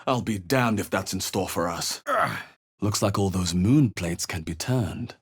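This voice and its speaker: A man playing a wary, wry young adventurer in a video game, husky and musing to himself as he observes. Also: deep voice, unhurried. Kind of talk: video game character voice lines, muttered observations.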